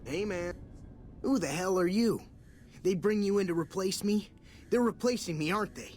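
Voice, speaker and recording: A man's voice: sits high, normal speed, a little mellow, lightly acted. Man playing a cocky, dim slacker technician. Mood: cocky, defensive. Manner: slangy, boastful, swaggering drawl. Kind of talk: video game dialogue.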